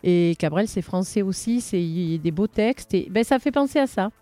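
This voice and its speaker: A woman hosting a casual radio interview, hoarse from a cold, chatty and full of fillers and restarts. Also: mid-range voice, animated, normal speed, warm tone, dry recording, soft background music. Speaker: radio host.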